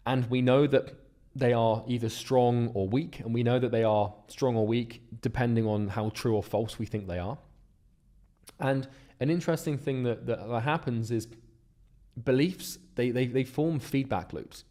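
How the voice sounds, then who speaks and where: Guy explaining a lesson step by step, warm low-key tone, steady. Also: moderate pace. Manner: steady, explains step by step with some repeated words. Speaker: course instructor.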